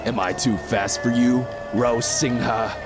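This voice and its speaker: An actor playing a mocking, theatrical masked villain in an audio drama. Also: male voice, medium pitch, fairly even intonation, a little slow, loud music behind the voice, close to an everyday voice. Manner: mocking, theatrical, laughing at foes. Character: masked male villain thief, taunting and swaggering.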